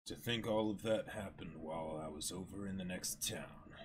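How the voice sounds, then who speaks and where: A man voicing a sinister, restrained butler with a measured, menacing manner in a manga reading. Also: low voice, noisy background, voice pushed down, close to an everyday voice. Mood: cold, menacing. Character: sinister restrained male butler, secretly a pirate.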